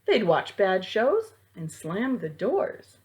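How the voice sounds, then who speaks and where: Female storyteller for rhyming children's picture book. Very animated, unhurried, theatrical delivery. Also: medium pitch. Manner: theatrical, deliberate phrasing. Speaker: library storytime reader.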